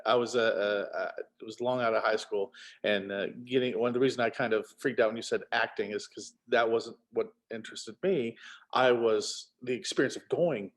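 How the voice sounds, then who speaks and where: A middle-aged man chatting casually as a guest, halting and rambling, with wry self-deprecation. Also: medium pitch, fairly even intonation. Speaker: guest, author.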